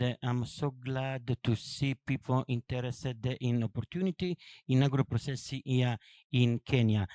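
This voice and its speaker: A middle-aged man with an Italian accent, a company CEO introducing himself on a business panel in halting, non-native English. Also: medium pitch, a little mellow, dry recording. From Italy. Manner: halting, non-native phrasing, laughs at own story.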